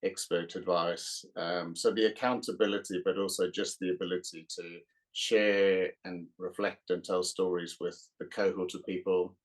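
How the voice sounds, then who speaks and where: A middle-aged man from South Africa with a South African accent, a guest author talking in a podcast interview, pausing often with fillers. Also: sits low, flat delivery, low-quality recording. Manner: hesitant, many uh fillers.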